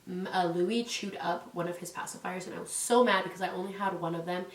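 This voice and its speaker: A young woman with a low-set voice chats casually at home, rambling and filling her talk with "like" and "um." She sounds stuffy and congested from a cold. The echoey room and her distance from the mic give it a loose, hollow sound. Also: quick pace. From California. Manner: rambling, many "like"s and "um"s. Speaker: parenting vlogger. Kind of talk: casual chatty vlog. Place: indoors at home.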